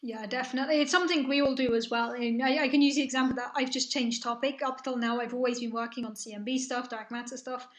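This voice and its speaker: A woman's voice: high voice, steady tone, fast talker, hardly any pauses, reverberant. A young woman from the south of England, raised in Spain, with a mixed British-European accent, chatting casually as the host of a live science stream and answering viewer questions. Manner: chatty, reassuring, friendly explaining.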